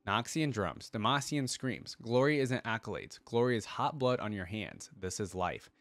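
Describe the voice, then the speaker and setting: A man's voice: lowish voice, animated, normal speed, fairly bright tone, no room sound. A man voicing a brutal, bloodthirsty undead warrior in terse, grim declarations, as a dramatic story reading. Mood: bloodthirsty, impatient.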